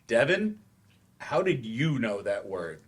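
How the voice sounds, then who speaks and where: A man acting in a staged play reading as a patient, slightly awkward English teacher who explains in measured steps with hesitant asides. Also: mid-range voice, big pitch swings, breathy edge, exaggerated voice. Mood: instructive.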